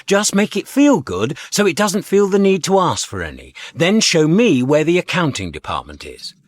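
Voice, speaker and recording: A man playing an excitable, sarcastic space adventurer in a radio comedy, brisk and colloquial. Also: a little high, no room sound. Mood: exasperated, scheming.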